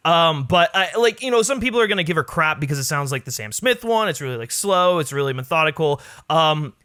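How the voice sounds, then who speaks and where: Bright-voiced, emphatic man on a podcast. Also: a little high, expressive, a little fast, no echo, studio quality. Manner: emphatic, peppered with "like" and "you know". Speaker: podcast guest, film commentator. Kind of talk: casual opinionated film chat.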